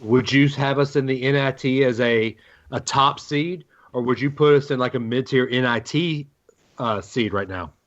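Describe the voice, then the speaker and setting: A man's voice: mid-range voice, normal speed, fairly bright tone. Male sports show host, dull lo-fi audio. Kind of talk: conversational questioning on a sports talk show. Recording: dull, narrow-band lo-fi sound.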